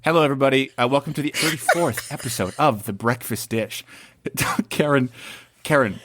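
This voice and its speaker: A man's voice: mid-range voice, a bit bright, dry recording. A man in his late twenties co-hosting a podcast with his mother, joking and playful. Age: late 20s. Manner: playful, jokey, quick asides. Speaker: podcast co-host.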